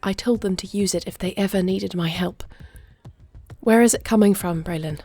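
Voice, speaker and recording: A woman's voice: medium pitch, normal speed, dark tone, dry recording, natural voice. A woman playing a regal, anguished but resolute queen with formal, commanding diction in a fantasy audio roleplay. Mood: anguished, urgent.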